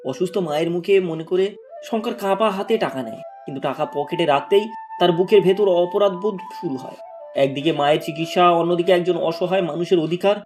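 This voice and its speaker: A man's voice: high voice, fairly quick, darkish tone, faint music behind. A man narrating a scripted moral story as a storybook narrator.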